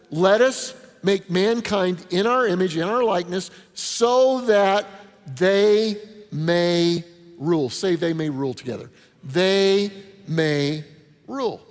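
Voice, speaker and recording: A man preaching a conversational sermon as a pastor, with rhetorical questions, punchy short phrases and informal asides. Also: high-pitched. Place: large indoor hall before an audience. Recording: reverberant hall with long echo.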